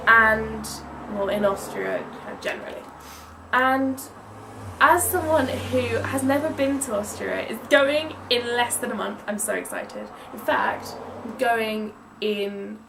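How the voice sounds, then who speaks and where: A young English woman speaks in a high voice that often dips into creaky fry. She reviews books in a chatty, emphatic style, leaning hard on stressed words. The mic sits at a distance, so she sounds a little removed. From England. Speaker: book reviewer. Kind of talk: casual, chatty book review vlog. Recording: distant mic with some background noise.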